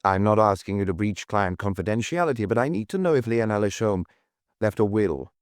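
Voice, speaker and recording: A man plays a calm male chief inspector in an audiobook. His voice is on the low side and very dry. He questions in a measured, courteous way, quietly persistent and probing. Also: no room sound, natural voice. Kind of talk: audiobook character dialogue.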